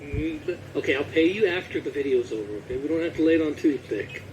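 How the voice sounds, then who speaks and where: Higher-voiced man hosting, noisy recording. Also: fast talker. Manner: easygoing, encouraging. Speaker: host. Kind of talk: casual gaming chat. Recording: clearly noisy background.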